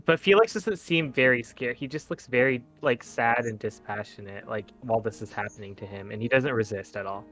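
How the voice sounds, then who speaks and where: A man's voice: mid-range voice, a little fast, few pauses, fairly bright tone, soft background music. A man chatting casually as a game player, describing what his character does in a hesitant, informal way.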